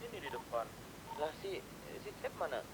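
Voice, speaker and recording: A man's voice: big pitch swings, moderate pace. A man chatting casually and colloquially as a player in an online roleplay game. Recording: clear rumbling background noise.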